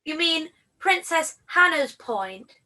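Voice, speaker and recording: A woman's voice: high-pitched, big pitch swings, takes it slowly, sharp tone, exaggerated voice. A woman playing an outspoken, challenging cuddly toy in a scripted puppet comedy.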